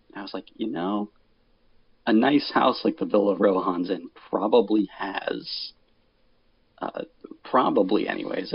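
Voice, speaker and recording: A man with a low, husky voice and plenty of fry chats casually on a podcast. The sound is lo-fi and slightly dull. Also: dry recording. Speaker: podcast crew member. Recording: dull, lo-fi voice recording.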